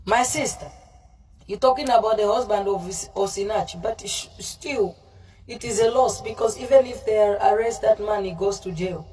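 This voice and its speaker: A woman's voice: medium pitch, moderate pace, a little mellow, faint music behind. A woman preaching on a livestream in a confrontational, scolding way, mixing in Pidgin and repeating herself, recorded at a distance from the microphone. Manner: mixes in Pidgin. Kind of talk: fiery informal sermon, answering live comments.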